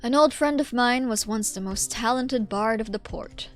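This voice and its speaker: Old male inquisitor, read by a woman in her everyday voice, solemn, oratorical game dialogue, soft music behind. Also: a little high, normal speed, close to an everyday voice. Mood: reflective, grave. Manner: formal, reflective, oratorical. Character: grave, eloquent old male inquisitor saint.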